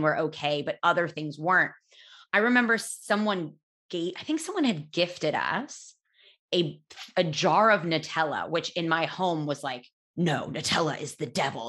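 A woman in her thirties speaks in a low, loud voice as she hosts her own podcast. She tells a personal story candidly and casually. She pauses on fillers and restarts her sentences as she goes. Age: 30s. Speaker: podcast host.

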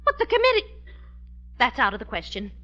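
Casting: firm American sitcom wife, exasperated but sensible, animated high voice, vintage radio comedy. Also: female voice, brisk, heavily acted. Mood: indignant, firm. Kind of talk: 1940s radio comedy acting. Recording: muffled, noisy, humming old radio recording.

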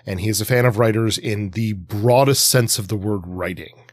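A man's voice: fairly low pitch, normal speed, dry recording, studio quality. A man co-hosting a podcast in casual analytical discussion, thinking aloud and rephrasing as he goes. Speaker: podcast co-host.

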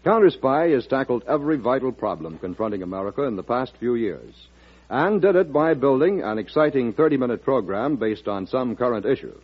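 A man's voice: mid-range voice, very animated, a little fast, husky. A man working as a radio announcer, delivering a formal, emphatic 1940s promotional read. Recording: muffled old radio broadcast.

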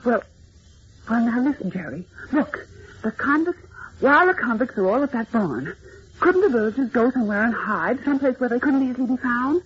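A woman's voice: fairly high pitch, expressive, a little fast, lightly acted. An actress playing a brave, indignant captive young woman in an old radio serial, with earnest, dramatic diction. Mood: urgent. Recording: muffled, noisy old radio recording.

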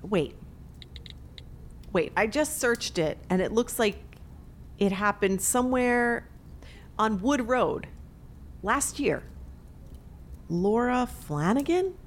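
A woman's voice: on the high side, lively intonation, slow, pauses often, a little hiss or hum. A woman playing a skeptical, sarcastic hometown returnee in an audio drama. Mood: alarmed, sarcastic. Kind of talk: naturalistic audio drama acting.

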